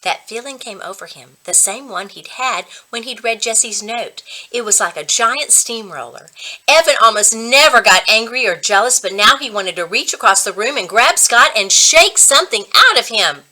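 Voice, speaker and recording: A woman reading a children's book aloud as a reading coach, with expressive, dramatic storytelling. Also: fairly high pitch, normal speed, low-quality recording.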